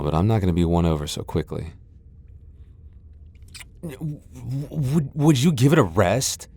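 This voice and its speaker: Man acting a very deep-voiced, prickly vampire lord. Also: a little mellow. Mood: flustered, indignant. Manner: haughty, defensive, stammering when flustered. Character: proud tsundere male vampire lord, flustered and prickly. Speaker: voice actor. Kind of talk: ASMR boyfriend roleplay acting.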